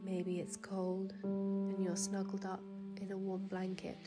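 A woman leads a guided sleep meditation very slowly, leaving long pauses. Her hushed, soothing voice stays level and trails into soft breath at phrase ends. Gentle piano music and ocean waves play clearly behind her. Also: medium pitch, completely flat delivery. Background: relaxing piano music and ocean waves.

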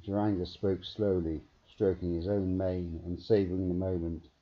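A man reading a story aloud as a dramatic, deliberate audiobook narration, on a muffled recording. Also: low voice, flat delivery. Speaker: author reading own story. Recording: dull, muffled narrow-band recording.

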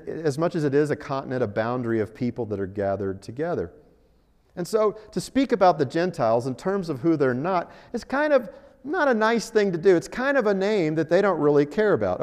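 Guy preaching in a big echoey church, kinda high voice, super animated, wry and chatty. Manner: animated, wryly humorous, rhetorical questions. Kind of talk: sermon, conversational and storytelling. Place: large church hall. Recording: echoey hall with long reverb.

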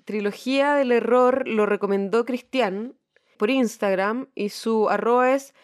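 A woman's voice: on the high side, measured pace. A woman with a Chilean accent co-hosting a podcast in a casual, colloquial, slangy chat. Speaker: podcast co-host.

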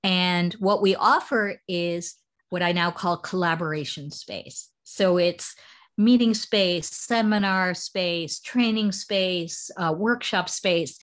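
A middle-aged American woman, a startup founder, answering interview questions thoughtfully, with frequent ums and restarts. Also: on the low side. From United States.

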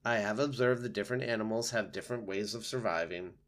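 Man voicing a calm, measured female robot. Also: on the low side, close to an everyday voice. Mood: calm, neutral. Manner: measured, literal, formal.

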